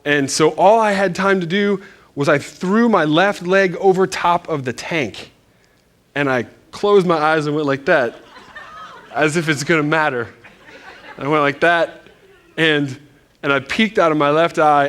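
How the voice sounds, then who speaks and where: Animated, chatty male preacher. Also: on the high side, measured pace. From Northern Virginia. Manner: animated, self-deprecating, many restarts. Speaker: pastor. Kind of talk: casual storytelling sermon. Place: church.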